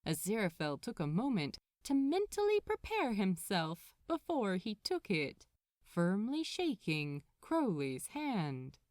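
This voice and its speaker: A woman reading scripted fiction aloud as an expressive storyteller. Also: medium pitch, unhurried, no room sound, studio quality. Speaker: podfic narrator.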